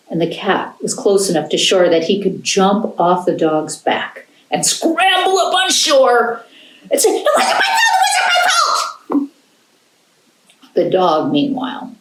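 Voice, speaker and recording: An American woman telling a folktale to children in an animated storyteller's style. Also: lowish voice. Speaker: library storyteller. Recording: roomy, echoing indoor sound.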